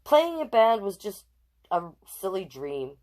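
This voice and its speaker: A woman's voice: mid-range voice, sing-song, slow pace, darkish tone, pitched above the performer's own voice. Woman reading as a discouraged, resigned band musician. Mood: defeated. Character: discouraged band musician ready to give up, children's storybook.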